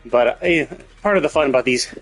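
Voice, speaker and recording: A man doing casual live game commentary, speculating and thinking out loud, with some casual swearing. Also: on the high side, a little fast, faint music behind. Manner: casually profane. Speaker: game livestreamer.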